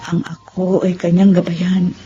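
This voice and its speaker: An actress playing a gentle, grateful expectant mother in a radio drama, speaking reflectively and from the heart. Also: fairly low pitch, monotone, measured pace, lightly acted. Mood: reflective, hopeful. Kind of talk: Tagalog radio drama acting. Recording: muffled, clear music bed.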